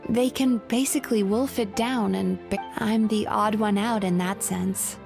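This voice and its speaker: A woman voicing a kind young dream-eating spirit therapist in an anime game, gentle, measured and reflective. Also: medium pitch, fairly even intonation, gravelly, over music. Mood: wistful, explaining.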